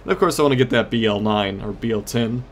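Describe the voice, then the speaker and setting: A man's voice: medium pitch, fast talker, slight background noise. A man giving casual, rambling gameplay commentary as host of a gaming series, often losing his train of thought.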